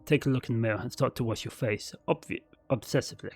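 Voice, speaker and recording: A man's voice: mid-range voice, quick pace, a little mellow, slightly rough. A man reading a visual novel aloud as a narrator, in a dramatic storytelling style with the odd stumble over words. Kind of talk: scripted narration read aloud.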